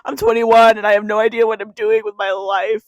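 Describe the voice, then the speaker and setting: A woman's voice: mid-range voice, level tone, fairly quick, no room sound, lo-fi sound. A 21-year-old woman, crying as she reads a confessional spoken-word poem to camera, with a repeating refrain. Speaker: YouTuber.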